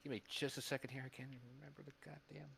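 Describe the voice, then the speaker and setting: A man's voice: mid-range voice, level tone, rapid-fire, creaky, pushed and bright, slight background noise. A man talking casually as a panel member, with a wry, sardonic manner and some swearing. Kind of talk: casual chat.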